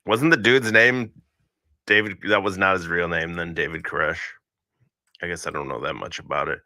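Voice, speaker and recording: A man's voice: low voice, normal speed, pushed and bright, no room sound, clean studio sound. A man from Minnesota hosting a stream, chatting in a laid-back, slangy, joking way. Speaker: stream host. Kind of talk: casual chat.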